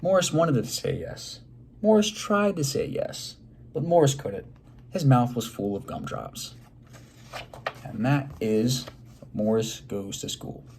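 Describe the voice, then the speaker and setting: A man reading a children's story aloud expressively, pausing to put questions to his listeners. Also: mid-range voice, lively intonation, a little mellow, slight background noise.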